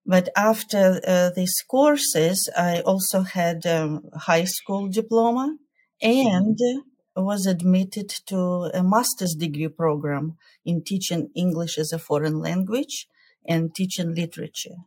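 A woman, a culture coach answering interview questions in a careful, measured way with hesitant fillers. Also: fairly low pitch, darkish tone. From Ukraine.

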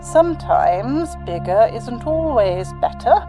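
An actress playing a haughty, self-assured British entrepreneur, posh and dismissive, giving an interview in an audio drama. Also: female voice, a little high, very animated, slow pace, over music, exaggerated voice. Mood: smug, boastful.

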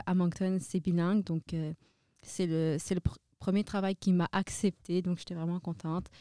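A young woman from Belgium, a student guest on a radio show, introducing herself with hesitant pauses. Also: lowish voice, warm tone, dry recording, clean studio sound. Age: young adult. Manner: hesitant, many fillers. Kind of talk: casual chat. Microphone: studio microphone.

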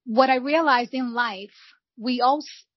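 A woman's voice: on the high side, a little slow, dry recording. A middle-aged woman from Brazil, earnestly telling her life story as a guest on an interview podcast. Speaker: guest, real estate founder. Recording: dull lo-fi, dry sound.

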